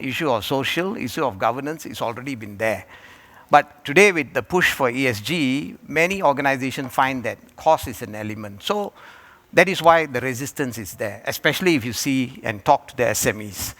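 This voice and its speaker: A man from Malaysia answering as a panelist at a conference, talking in a halting way with many fillers and restarts. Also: mid-range voice, a bit bright. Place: conference hall.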